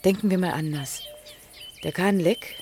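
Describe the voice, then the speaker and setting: An actress playing a teasing, inquisitive young student in a naturalistic radio play, probing with questions. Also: female voice, on the low side, unhurried, darkish tone, faint music behind. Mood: pensive, challenging. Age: young adult.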